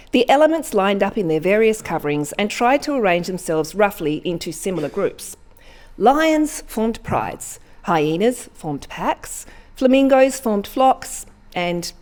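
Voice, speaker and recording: A woman reads a whimsical tale aloud at a live event. Her medium-pitched voice rises and falls freely, swinging widely for emphasis. She delivers it as an expressive, deliberate storyteller, pausing for dramatic effect. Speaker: story reader. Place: live reading event in a barn.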